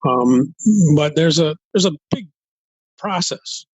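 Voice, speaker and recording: An older man from Los Angeles in an interview as a guest author, speaking in a halting, thoughtful way and building his points step by step. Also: fairly high pitch, dry recording, muffled recording. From United States, Los Angeles. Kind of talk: interview conversation.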